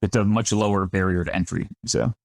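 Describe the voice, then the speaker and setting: A man in his thirties from upstate New York talks as a podcast guest in a very low voice. He is chatty and self-deprecating, often restarting his sentences. The sound is dry and close, with no room echo. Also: steady tone, a little fast, gravelly, a little mellow. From Finger Lakes region of New York. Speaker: winemaker guest. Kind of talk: conversational podcast interview.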